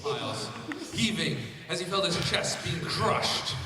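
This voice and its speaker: A man's voice: high-pitched, lively intonation, a little slow, noisy background. A man reading fan fiction aloud as host of a live panel, breaking into amused asides as he goes. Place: large convention panel room. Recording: echoey hall from far off, loud crowd. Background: loud audience crowd.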